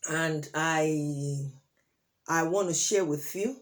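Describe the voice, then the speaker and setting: A Nigerian woman whose first language is Yoruba, sharing her opinions in a monologue to camera. She talks deliberately, with many fillers and pauses, and turns emphatic on key points. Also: low voice. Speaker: vlogger.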